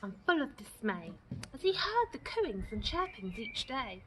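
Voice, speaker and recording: A woman with a fairly high voice reads a rhyming picture book for children. Her delivery is expressive and deliberate, shaped for storytime. Clear background noise runs through the recording. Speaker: storytime reader.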